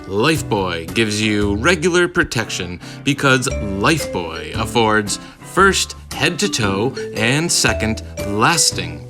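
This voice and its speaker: A man playing an earnest, measured soap pitchman in an old-time radio commercial.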